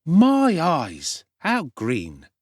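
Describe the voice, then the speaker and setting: Swaggering Cockney urchin for a classic audiobook: high, wildly animated delivery, brash and knowing. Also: male voice, very slow, no echo, clean studio sound, exaggerated voice. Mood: mocking disbelief. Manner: brash, slangy, self-assured. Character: cocky streetwise Cockney boy, swaggering young pickpocket.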